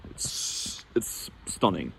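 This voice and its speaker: A man's voice: extremely slow, a bit bright, slight background noise. A young man from Norway talking casually to camera in a vlog, rambling and chatty, with frequent restarts and fillers. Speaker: vlogger.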